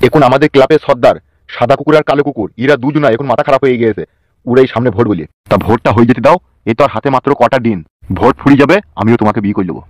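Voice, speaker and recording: A man's voice: mid-range voice, racing, no room sound, close to an everyday voice. A man playing a scheming, lovestruck crooked uncle in comic cartoon dialogue, melodramatic, coarse and boastful. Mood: pleading, sweet-talking.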